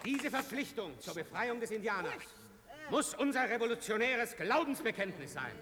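A fervent revolutionary orator in a radio play, his voice pitched extremely high. He declaims solemnly into a long hall reverb, with a crowd clearly audible. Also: very animated, moderate pace. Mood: fervent, declamatory. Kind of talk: political oratory in a radio drama.

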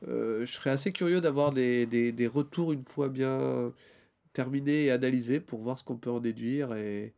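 A man co-hosting a casual podcast, his voice gravelly and stuffed up from a cold. He rambles through his points with fillers and restarts. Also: medium pitch, moderate pace, mellow. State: has a cold. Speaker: podcast co-host. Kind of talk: casual chat.

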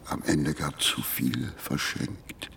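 A man's voice: a bass voice, animated, a bit bright, heavily acted. An actor in a German radio play voicing a brooding man who muses on his regrets in an inner monologue. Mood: brooding, dark. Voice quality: gravelly. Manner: slow, breathy half-whispered musing.

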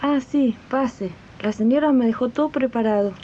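A woman's voice: moderate pace, mellow, lightly acted. A woman playing a friendly working-class Argentine domestic worker in a 1980s radio drama, confiding directly in her fellow workers. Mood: matter-of-fact. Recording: muffled, noisy 1980s archive radio tape with rumble.

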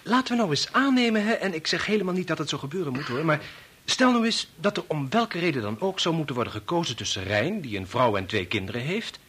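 An actor playing a stubborn, persuasive bachelor in a Dutch radio play, wry, circling his point, with a slightly husky voice. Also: a little high, big pitch swings, fast, a bit bright, heavily acted. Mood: insinuating. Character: idealistic unmarried man, teasing, stubborn, persuasive.

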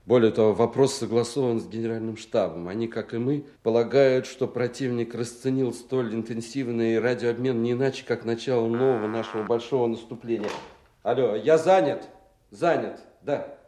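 Male actor doing soviet colonel in a war radio play, mellow dark voice, firm n clipped, brusque, in charge. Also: mid-range voice, steady tone, normal speed, natural voice. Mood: brisk, impatient. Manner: firm, clipped, insistent officer's delivery. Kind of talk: Soviet radio drama acting.

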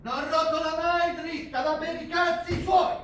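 A man's voice: very high-pitched, flat delivery, unhurried, a bit bright. An actor playing a coarse, hard-driving rugby coach who harangues his team in a theatrical, foul-mouthed way. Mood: stern, rousing. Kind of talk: theatrical stage acting. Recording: distant, very echoey, faintly noisy stage recording.

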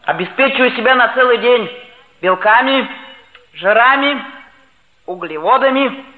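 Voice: mid-range voice, expressive, unhurried, narrow, tinny audio, close to an everyday voice. An actor playing a boastful, gluttonous schoolboy who talks with comic pomposity in a 1960s radio play. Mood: boastful, breezy. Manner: pompous mock-scientific talk. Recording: echoey, band-limited vintage radio play recording.

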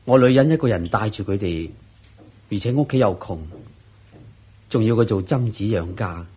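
Radio play devout, careworn young father, Hong Kong Cantonese accent, lowish husky voice, earnest and plain-spoken. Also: expressive, normal speed, a little mellow. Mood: humble, earnest. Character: poor, devout, worried young husband and father. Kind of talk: Cantonese-language Buddhist radio drama acting.

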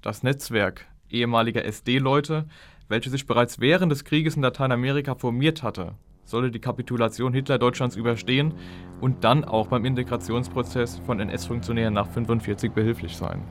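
A man's voice: mid-range voice, steady tone, normal speed, faint music behind. A man delivering formal, academic exposition in long, orderly sentences as an expert commentator.

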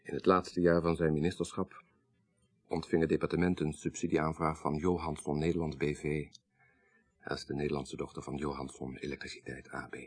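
Very deep, husky, grave man. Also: little pitch movement. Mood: measured, serious. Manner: formal, measured, articulate storytelling. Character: grave, troubled son recounting his dead father's story. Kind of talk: radio drama acting.

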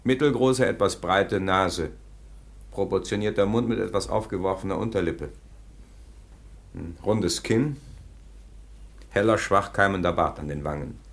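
An actor playing a gruff, impatient nineteenth-century police sergeant in a radio drama, brusque and commanding. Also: male voice, deep voice, a little slow, long pauses. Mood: dry, methodical. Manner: brusque, commanding, officially clipped. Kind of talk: German radio drama acting.

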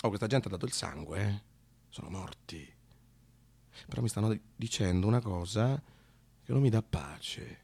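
A man performing a conversational autobiographical monologue, halting and repeating himself with dramatic pauses. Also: lowish voice, expressive, vocal fry, a little mellow, slightly rough, no room sound. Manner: halting, broken phrases with dramatic pauses and repetitions. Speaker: actor and narrator of a radio monologue. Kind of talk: theatrical autobiographical storytelling, conversational.

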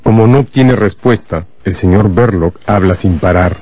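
A man narrating a scripted radio drama in a measured, dramatic storytelling manner. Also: deep voice, dry recording, dull audio, faint music behind.

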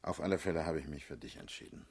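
An actor playing a blunt, world-weary, middle-aged male party leader in a German radio drama, with a husky, rough voice. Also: rumbling low, fast, a little mellow, heavily acted. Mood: wearily brooding. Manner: blunt, paternal, brusque.

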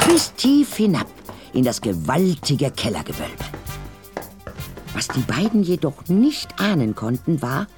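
A man's voice: mid-range voice, darkish tone. A man narrating a German audio drama in a dramatic storytelling style. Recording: music behind.

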